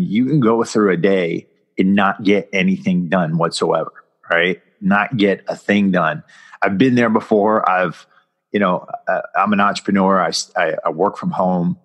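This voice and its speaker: Upbeat podcast host, lowish voice. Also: male voice, fairly bright tone. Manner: upbeat, conversational, loose pitch. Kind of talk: casual podcast intro and interview.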